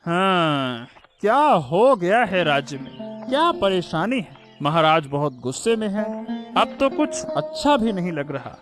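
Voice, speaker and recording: A man's voice: high voice, very animated, measured pace, a bit bright, faint music behind, exaggerated voice. A man playing a greedy, cowardly minister in a cartoon story, flustered and repeating his words nervously. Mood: worried, sulky.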